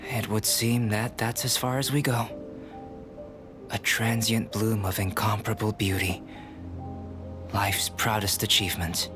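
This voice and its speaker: Male actor as a composed young alchemist, low measured voice, game music clearly underneath. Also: level tone, close to an everyday voice. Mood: philosophical. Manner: soft-spoken, measured, reflective. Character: calm young male alchemist, artificial being. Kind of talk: game cutscene voice acting. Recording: game soundtrack music behind.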